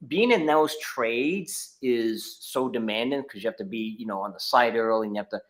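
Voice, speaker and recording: A man's voice: mid-range voice, moderate pace. A middle-aged man from New England with a Boston accent, telling long stories as a podcast guest, rambling with many restarts. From New England, United States. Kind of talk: casual podcast chat.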